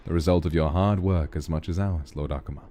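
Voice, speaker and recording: A man's voice: a bass voice, fast talker, warm tone, faint music behind, voice pushed down. A man voicing devoted, grateful clan members who speak reverently to their lord. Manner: reverent and formal.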